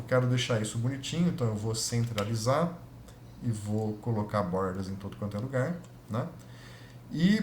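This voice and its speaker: A man with a Brazilian Portuguese accent, a physics lab instructor talking through a spreadsheet tutorial, thinking aloud and correcting himself as he goes. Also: mid-range voice. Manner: thinks aloud, self-correcting, checks in with students. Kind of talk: screen-recorded spreadsheet tutorial. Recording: echoey room with faint noise.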